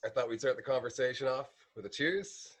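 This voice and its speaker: A man hosting a casual interview who keeps the talk relaxed and often drops in short affirmations. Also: mid-range voice, brisk, a little hiss or hum. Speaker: interview host.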